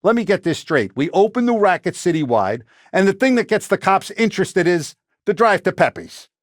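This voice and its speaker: Tough, animated Italian-American mob boss. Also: male voice, sits high, normal speed, dry recording, studio quality. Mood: stern, pressing. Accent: American, Italian-American gangster. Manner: streetwise, blunt, authoritative. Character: tough middle-aged Italian-American mafia boss, commanding and vengeful. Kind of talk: video game voice acting, 1930s gangster talk.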